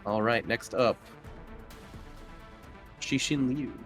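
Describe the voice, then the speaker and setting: A man acts as game master for a tabletop session, narrating scenes and calling for rolls informally. His voice sits at an average pitch, and faint music plays behind him. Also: takes it slowly.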